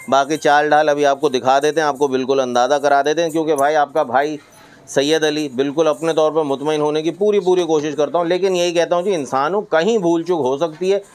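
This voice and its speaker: A man's voice: on the high side, smooth. A middle-aged man giving a chatty, informal sales presentation as host, peppering his talk with pious phrases and English words. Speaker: host of livestock market videos. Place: roofed block-walled goat pen.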